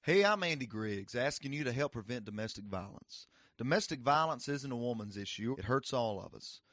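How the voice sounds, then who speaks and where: A man's voice: mid-range voice, lively intonation, brisk, fairly bright tone, no echo. Earnest male spokesman, very dry recording. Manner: earnest, direct appeal. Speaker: public service announcement spokesman. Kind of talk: scripted public service announcement.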